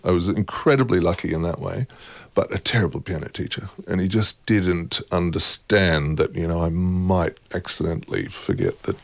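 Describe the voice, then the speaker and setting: Man, low voice, dry close recording, wry, relaxed guest talk, middle-aged. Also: normal speed, vocal fry, few pauses, no echo. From born in England, raised in Germany and Australia. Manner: wry, self-deprecating, chatty with laughs. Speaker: guest, opera music head. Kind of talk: relaxed conversational interview.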